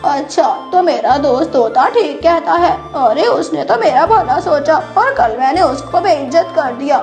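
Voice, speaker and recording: A woman playing a suspicious, quick-tempered male crow husband in a cartoon animal fable, indignant and scolding. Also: high voice, animated, music in the background. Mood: resentful.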